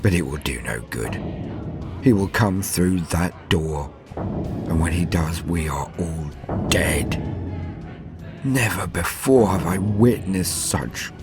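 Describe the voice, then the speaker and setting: A man playing an arrogant villainous officer in a dramatic first-person horror tale, emphatic and theatrical with weighty pauses. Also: deep voice, lively intonation, music in the background, exaggerated voice. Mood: dread, resignation. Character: arrogant male villain officer sinking into dread.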